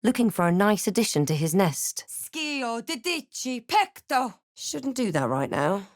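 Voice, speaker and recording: An actress playing a wary, snarky female adventurer in a fantasy video game, with a dry, measured, theatrical delivery. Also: medium pitch, sing-song, dry recording. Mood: forceful spell casting. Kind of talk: video game voice lines, scripted barks and narration.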